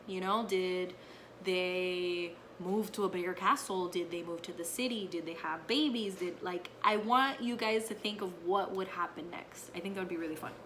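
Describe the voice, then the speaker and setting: A woman teacher talking casually to her young pupils and reading a picture book aloud, often checking in with a quick okay or right. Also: on the low side, a bit bright, a little hiss or hum, poor audio. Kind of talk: casual read-aloud with explanations to children.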